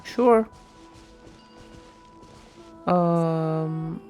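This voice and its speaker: A woman's voice: sits low, very slow, dark tone, soft background music. A woman streaming a game, giving casual, offhand and playful reactions.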